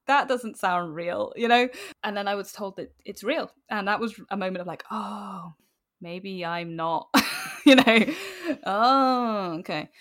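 A British woman talking casually as an interview guest, animated and self-deprecating, often acting out bits of imagined dialogue. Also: medium pitch. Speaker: podcast guest.

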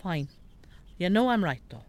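A woman's voice: on the low side, very animated, moderate pace, darkish tone. An actress playing a stubborn, frank elderly farm mother with an Irish accent in a radio drama. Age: older. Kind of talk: naturalistic radio drama acting.

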